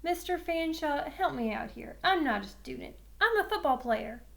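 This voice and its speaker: A cocky young football star, voiced by a woman reading a children's novel, whining and protesting in a high voice. He is dramatic and sure of his own importance. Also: lively intonation, moderate pace, creaky. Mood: pleading. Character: cocky, whiny middle school football star boy. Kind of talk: children's novel read aloud.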